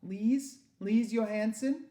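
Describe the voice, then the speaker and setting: A man's voice: high-pitched, slow pace, dark tone, roomy sound, pitched above the performer's own voice. A man voicing a frightened young girl, urgent and anxious. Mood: nervous.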